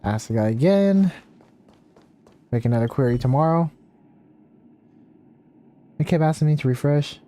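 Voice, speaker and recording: A man's voice: a little high, lively intonation, moderate pace, pauses often, dark tone. A man live streaming a video game, chatting casually and rambling as he thinks aloud. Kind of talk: casual gameplay livestream chat, reading game text aloud. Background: game music.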